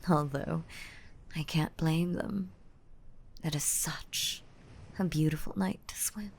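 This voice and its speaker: A woman voicing a gentle, nurturing mermaid in a roleplay ASMR audio drama, soothing and doting with a breathy, whispery delivery. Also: low-pitched, slow, slight background noise, exaggerated voice. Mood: serene, curious. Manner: soothing, doting, uses pet names. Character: gentle, nurturing mermaid rescuing a drowning human.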